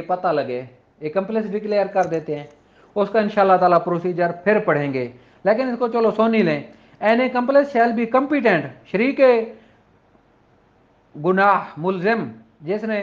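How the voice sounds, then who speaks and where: A man with a Pakistani accent, lecturing informally as a law teacher and switching between explanation and slowly read-out legal English. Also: sits high. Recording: dull, lo-fi audio.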